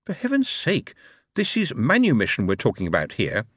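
A man's voice: mid-range voice, huge pitch swings, normal speed, dry recording, clean studio sound, voice pushed up. A man voicing an exasperated young man pleading with a horse.

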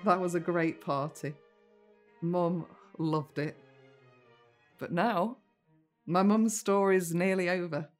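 An actress playing a caring, attentive middle-aged English daughter in a naturalistic, plain-spoken audio drama. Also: female voice, fairly low pitch, steady tone, slow pace, long pauses, faint music behind. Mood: reflective.

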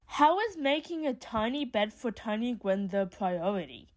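A woman's voice: a little high, sing-song, normal speed. A woman playing a blunt, argumentative but grudgingly caring character in an audio drama.

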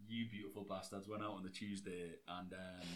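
A young man co-hosting a casual podcast, his voice hoarse and nearly gone, talking excitedly and swearing freely. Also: deep voice, fairly quick. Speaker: podcast co-host. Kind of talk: casual chat.

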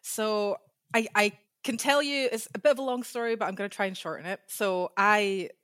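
A woman's voice: mid-range voice, moderate pace, a bit bright. A young adult woman with a Scottish accent, speaking as an interview guest and telling her own story casually. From Scotland. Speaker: podcast guest, history researcher.

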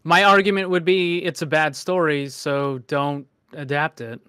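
A man's voice: on the high side, fairly even intonation, a little slow, sharp tone, clean tone, dry recording, studio quality. A man in a casual debate, speaking hesitantly but insistently and often repeating himself as he makes his point. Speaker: discussion panelist.

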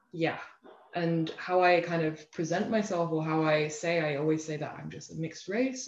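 A young woman with an English accent speaking reflectively in an interview, pausing and restarting as she thinks, with frequent filler phrases. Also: deep voice, a little mellow. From England. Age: young adult. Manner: thoughtful, halting, frequent "you know" and "like". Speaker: interview guest, self-love advocate. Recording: roomy echo, slightly dull lo-fi sound.

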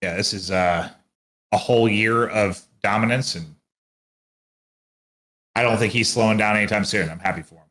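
Man, fairly low voice, casual podcast chat, blunt and sweary esports caster type. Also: moderate pace, pauses often, fairly bright tone. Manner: profane, blunt, colloquial. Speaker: esports commentator and podcast co-host.

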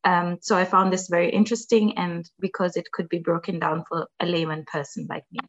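Gravelly low-voiced woman. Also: level tone, brisk, low-quality recording. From Kenya. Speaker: journal editor. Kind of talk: closing remarks on an online panel.

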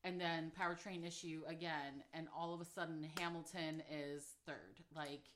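A woman co-hosting a casual sports podcast, animated and laughing often as she trash-talks. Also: low voice, moderate pace, slight background noise. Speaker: podcast co-host. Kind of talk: casual chat.

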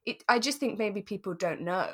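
A woman's voice: mid-range voice, animated, normal speed, slightly rough. A British woman chatting casually and enthusiastically as a podcast co-host.

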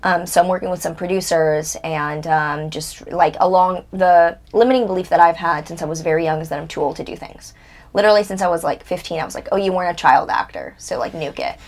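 Blunt candid young woman, lowish voice. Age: 20s. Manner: blunt, candid, swears freely. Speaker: interview guest. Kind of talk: casual interview conversation.

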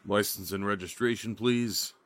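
An actor playing a skeptical, by-the-book highway patrol officer in an audio drama, official and matter-of-fact. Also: male voice, fairly even intonation, moderate pace, natural voice.

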